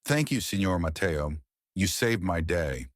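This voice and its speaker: A man's voice: sits low, expressive, measured pace, dry recording, clean studio sound. A man voicing a humble, earnest and grateful working woman in a narrated story. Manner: earnest, polite.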